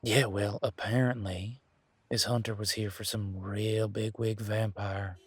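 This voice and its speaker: A man playing a laid-back, wry werewolf in an intimate one-sided audio roleplay, talking in a casual, folksy, teasing way with a husky voice. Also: fairly low pitch, flat delivery, unhurried, dry recording. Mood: gossipy, wry.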